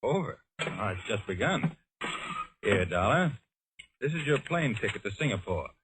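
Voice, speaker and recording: An American man playing a polite, worried company official who explains things in a measured way in an old radio drama. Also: fairly low pitch, sing-song, noisy background. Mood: earnest. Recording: vintage radio broadcast recording.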